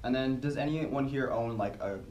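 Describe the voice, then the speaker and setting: A man's voice: mid-range voice, normal speed, muffled recording. Young man moderating in an echoey room. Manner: relaxed, chatty, laughs easily. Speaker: focus group moderator. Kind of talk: casual moderating. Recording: echoey room, mic at a distance, faint hiss.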